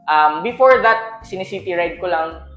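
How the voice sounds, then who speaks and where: A man's voice: sits high, lively intonation, normal speed, fairly bright tone, muffled recording. A man with a Filipino accent chatting casually as a podcast co-host, slipping into English. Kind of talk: casual chat, mixing in English. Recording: roomy echo, music underneath.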